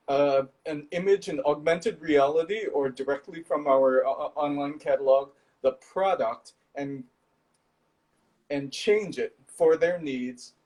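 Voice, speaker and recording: A man from Indonesia, a startup founder, explaining his business in a measured way over a video call, with some fillers. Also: a little high, a little mellow, low-quality recording.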